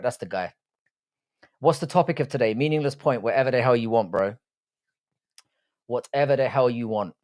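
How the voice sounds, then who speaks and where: A relaxed man in his thirties with a South London accent hosts a late-night stream from his desk mic. He chats easily, answers viewers' comments and swears freely. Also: medium pitch. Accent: South London English. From Ireland. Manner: laid-back, chatty, swears freely. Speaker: livestream host. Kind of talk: casual livestream chat reading viewer comments.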